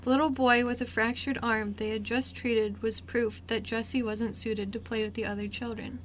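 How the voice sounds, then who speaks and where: A young woman with a fairly high voice narrates a story in an amateur scripted style. Faint noise sits behind her reading. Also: little pitch movement, moderate pace, poor audio.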